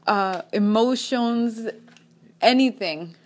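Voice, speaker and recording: A woman's voice: a little high, slow pace, darkish tone. A young woman from Kenya with a Kenyan accent, hosting a faith-based podcast in an upbeat, encouraging way. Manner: upbeat, encouraging, praise-filled. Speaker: podcast host.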